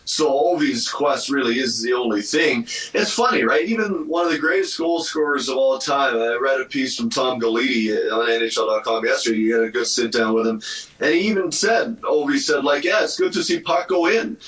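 Bright, forceful man in a roomy space. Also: medium pitch, a little fast. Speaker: sports radio commentator. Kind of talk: conversational hockey commentary. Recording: roomy, distant from the microphone.

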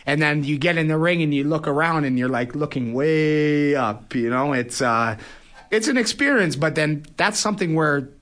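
A man's voice: on the high side, normal speed, fairly bright tone. Man telling stories in casual interview. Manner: conversational, frequent "you know" and "right?" tags. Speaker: guest, professional boxer.

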